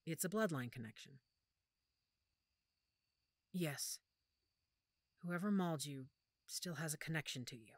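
A woman acting a protective, weary werewolf pack leader in an audio roleplay, speaking softly and measuredly with thoughtful pauses. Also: low-pitched, lively intonation, no echo. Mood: patient, explaining. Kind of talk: audio roleplay drama, one-sided conversation.

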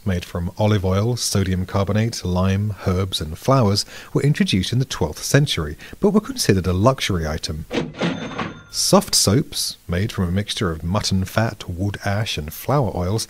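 Husky-voiced British man explaining history. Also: low-pitched. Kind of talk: scripted podcast narration.

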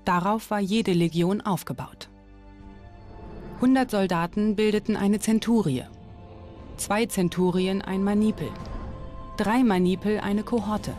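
Female documentary presenter, medium pitch, clear explanatory scripted narration, bit of music behind. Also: steady tone, a little slow, long pauses, darkish tone. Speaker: presenter and reporter of a history documentary. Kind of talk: scripted documentary narration with on-location interview questions.

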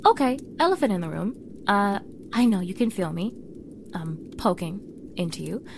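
A young woman acting a standoffish, awkward mean girl who is softening, in an intimate ASMR roleplay, halting and flustered, with soft breathy delivery. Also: mid-range voice, expressive, a little hiss or hum. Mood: flustered, defensive. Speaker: audio roleplay voice actor.

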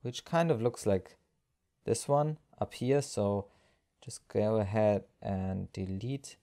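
A man narrating a step-by-step software screencast tutorial as an instructor, explaining each action as he goes. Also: fairly low pitch, takes it slowly.

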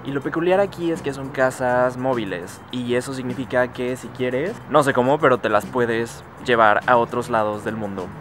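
Chatty mexican guy in his 20s, camera held out, walking around his mom's mobile home, soft music under. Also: medium pitch, normal speed, few pauses. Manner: chatty, giggly, affectionate. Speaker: vlogger. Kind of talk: casual house tour vlog. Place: mobile home, indoors and outdoors. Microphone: handheld camera at arm's length.

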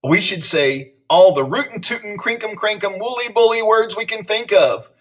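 Man playing bossy, high-pitched woman school nurse. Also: normal speed, fairly bright tone, far from the mic. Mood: decisive.

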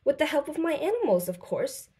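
A woman's voice: sits high, big pitch swings, brisk, warm tone, pitched above the performer's own voice. A woman voicing a kind, capable, cheerful girl in a children's storybook, explaining and reassuring.

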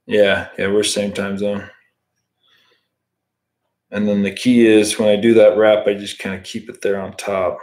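A man's voice: fairly low pitch, measured pace, long pauses. Laid-back man chatting through a fly-tying stream. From United States. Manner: relaxed, chatty, answers viewer comments while working. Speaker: fly tying livestream host. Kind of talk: casual live tutorial with chat. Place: home room, indoors.

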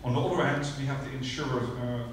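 A man's voice: mid-range voice, quick pace, a little hiss or hum. A man with a Dutch accent giving a conference presentation, hesitant with frequent uhs. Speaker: company representative presenting. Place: large theater stage. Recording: echoey hall from far off.

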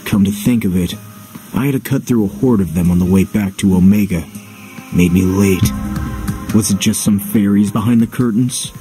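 A man's voice: lowish voice, normal speed, close to an everyday voice. A man playing a suspicious, cool swordsman in a video game, with a terse, dry, sardonic delivery. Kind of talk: English video game voice acting. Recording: music behind the voice. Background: game music.

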